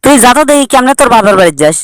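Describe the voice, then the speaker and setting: পুরুষ কণ্ঠে চড়া গলার ঝগড়াটে গ্রাম্য স্বামী, উত্তরবঙ্গের টান. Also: male voice, very high voice, lively intonation, racing, a bit bright, clear, resonant tone, no room sound. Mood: scolding, bossy. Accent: northern Bangladeshi. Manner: scolding, blunt. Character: bossy, bickering village husband. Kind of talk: comic cartoon dialogue.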